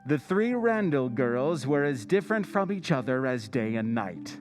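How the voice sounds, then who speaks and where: An actor playing a mysterious, ominous night-walking narrator in a radio drama, deliberate and measured. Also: male voice, medium pitch, sing-song, music in the background, close to an everyday voice. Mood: storytelling.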